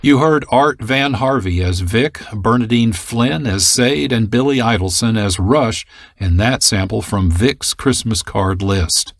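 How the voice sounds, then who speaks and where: A man hosting a radio program in measured, deliberate scripted narration. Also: lowish voice, no echo. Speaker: program host.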